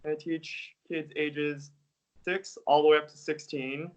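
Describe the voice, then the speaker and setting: A man's voice: on the high side, takes it slowly. Laid-back young man, muffled audio. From United States. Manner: relaxed, hedging with "like" and "I guess". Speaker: interview guest, English teacher. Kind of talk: casual interview answers.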